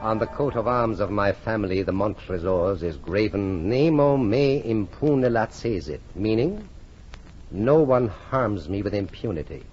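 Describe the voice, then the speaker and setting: A man's voice: lowish voice, expressive, unhurried, a little mellow, slight background noise. Slyly genial, vengeful nobleman, old radio. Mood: coldly confiding. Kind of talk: 1940s radio drama acting. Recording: muffled 1940s radio recording, music behind.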